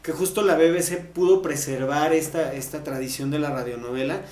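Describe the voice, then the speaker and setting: A man's voice: on the high side, fairly even intonation, fairly quick, darkish tone. A Mexican man co-hosting a podcast, chatting casually and explaining at length with bits of slang. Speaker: podcast co-host. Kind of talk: casual panel discussion. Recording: roomy, echoing recording.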